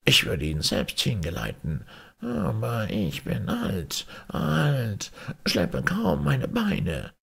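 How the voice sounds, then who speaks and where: Old storybook frog, croaky n strained, raised pitch, slow helpful advice. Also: male voice, wildly swooping pitch, a bit bright, exaggerated voice. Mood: weary. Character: lame, wise, helpful old male frog.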